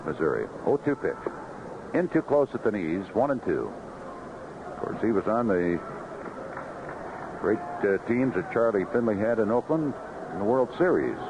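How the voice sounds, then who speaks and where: A man's voice: mid-range voice, dull audio, background noise. A man calling live baseball play-by-play as a radio announcer on a vintage 1970s broadcast. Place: outdoor ballpark broadcast booth.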